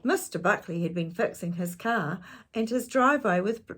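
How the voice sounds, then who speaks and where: A woman's voice: mid-range voice, lively intonation, moderate pace, a bit bright. A woman storyteller reading aloud to children expressively, with chatty asides and questions to her listeners.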